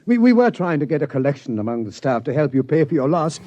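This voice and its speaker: An actor playing a polite, flustered scientist in charge of an observatory, hesitant and stammering, in a radio comedy. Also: male voice, fairly high pitch, very animated, quick pace, warm tone, dry recording. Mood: flustered, apologetic. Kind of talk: mid-century radio comedy acting. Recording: dull, hissy old radio recording.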